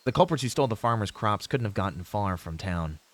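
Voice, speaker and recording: Novice fantasy hero, played by a man: young, male, slightly low pitch, expressive melody, earnest and idealistic, gallant wording with hesitant asides, audio roleplay. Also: fairly quick. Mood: reasoning, casual. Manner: formal, heroic storybook phrasing with hesitant asides. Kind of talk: fantasy audio roleplay acting, speaking to a companion.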